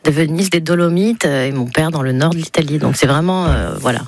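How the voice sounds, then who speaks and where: A woman's voice: low voice, fairly quick, no echo. Chatty woman, close dry sound. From Nice, southern France. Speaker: interview guest, stage performer. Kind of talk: casual chat.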